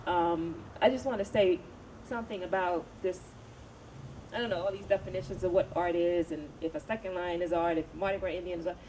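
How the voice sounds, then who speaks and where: A woman's voice: mid-range voice, mellow. A woman in her thirties answering questions on a panel, thoughtful, with many fillers and restarts. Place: outdoor evening event. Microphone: handheld microphone. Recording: distant camera audio, noisy outdoors.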